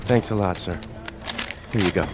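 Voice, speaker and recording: An actor playing a young American soldier in a radio drama, earnest, deferential and a little hesitant. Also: male voice, low-pitched, moderate pace, natural voice. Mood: earnest, polite.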